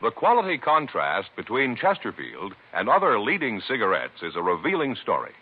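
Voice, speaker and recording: A man's voice: lowish voice, wildly swooping pitch, moderate pace, husky. An American man doing dramatic, scripted announcing for a 1950s radio program. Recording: narrow, muffled 1950s radio recording.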